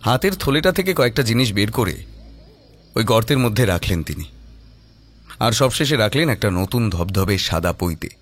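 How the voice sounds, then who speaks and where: A man's voice: on the low side, animated, moderate pace, pauses often. A man narrating a scripted horror audio story in a suspenseful, dramatic manner.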